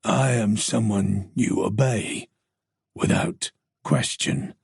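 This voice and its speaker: A man puts on a sinister stranger in a storybook thriller, speaking low, slow and soft from the mist, every word a quiet threat. The voice has a gravelly edge and a husky grain, with a dry, close sound. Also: dry recording, heavily acted. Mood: coldly menacing. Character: menacing mysterious man, cold and commanding.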